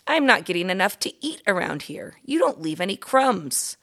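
Woman acting out an eager, cheeky little storybook mouse, gravelly. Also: mid-range voice, big pitch swings, moderate pace, a bit bright. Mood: complaining. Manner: pleading, argumentative, boyish.

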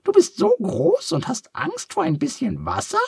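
Guy doing a super squeaky bossy duck lady, quacky and fussy, storybook voice. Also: huge pitch swings, moderate pace, creaky, darkish tone, no room sound, studio quality, full character voice.

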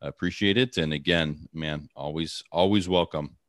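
A man's voice: a bass voice, moderate pace, a bit bright, no echo, clean studio sound. A man hosting a podcast interview in a casual, friendly way, with many fillers and repeated words. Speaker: podcast host.

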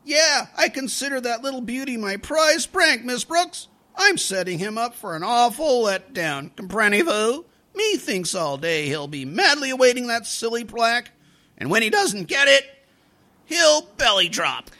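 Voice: medium pitch, sing-song, unhurried, bright, forceful tone, full character voice. An actor playing a scheming, slangy teenage schoolboy in an old-time radio comedy, theatrical and wheedling. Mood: gleeful, cocky.

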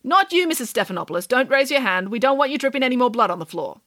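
Vrouw speelt imperious storybook female pig nanny, bossy, lively, dry. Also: high-pitched, fast, dry recording, pitched above the performer's own voice. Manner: haughty, bossy, proper.

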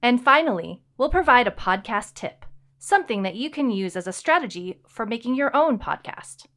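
A woman's voice: on the high side, big pitch swings, moderate pace, a bit bright. A woman co-hosting a casual home-recorded podcast, upbeat and teasing, with fillers. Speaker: writer and podcast co-host. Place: home recording setup in a house.

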